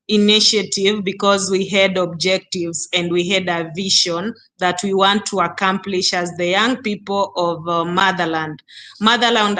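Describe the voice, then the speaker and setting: A woman, a guest on an online panel, speaking earnestly and pausing often with fillers and restarts. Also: medium pitch, sharp tone. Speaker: youth foundation guest. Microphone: video call.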